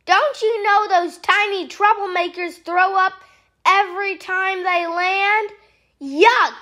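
A woman's voice: very high-pitched, bright, forceful tone, exaggerated voice. A woman voicing a fussy, tidy frog who is dramatic and easily horrified, in a theatrical children's storybook read-aloud. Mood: disgusted, alarmed. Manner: theatrical, exclaiming.

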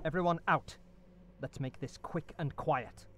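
A man's voice: a little high, a little slow, heavy background noise, lightly acted. A man acting a terse armed raid leader giving orders in an audio roleplay. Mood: terse, menacing. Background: loud music.

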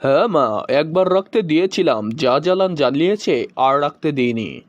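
A man's voice: fairly high pitch, animated, normal speed, dry recording, close to an everyday voice. A man voicing a hapless cartoon husband and friend who spins explanatory tales in a comic skit, in dull lo-fi audio. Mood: explaining, defensive. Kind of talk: cartoon joke skit dialogue.

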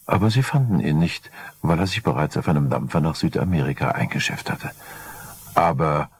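Older male narrator, very low husky voice, dry measured crime storytelling. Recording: 1980 vinyl record transfer.

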